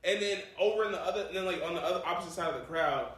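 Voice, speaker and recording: A fairly high-voiced American man tells a story casually as a podcast co-host. His talk is slangy and profane, and he voices the people he quotes. The sound is heavily echoey and distant from the mic, with faint noise. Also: rapid-fire, fairly bright tone. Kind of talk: casual animated storytelling among friends.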